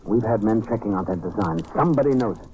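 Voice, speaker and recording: An actor playing a shrewd American investigator in an old-time radio detective drama, speaking in a clipped, decisive, matter-of-fact way. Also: male voice, lowish voice, animated, fast, dark tone, slight background noise, lightly acted, low-quality recording. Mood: shrewd, intent. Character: hard-boiled American male investigator, shrewd and decisive, narrating his case. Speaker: lead actor and narrator.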